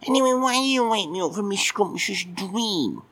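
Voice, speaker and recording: A man's voice: high-pitched, expressive, normal speed, fairly bright tone, pitched above the performer's own voice. A man voicing a cheeky, crude, dim-witted male cat who rambles with mock innocence.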